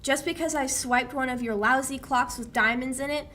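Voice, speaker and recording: A young woman playing a sharp, accusing, challenging woman in a 1940s radio play. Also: sits high, steady tone, normal speed, a little hiss or hum. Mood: taunting. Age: young adult. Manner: biting, challenging.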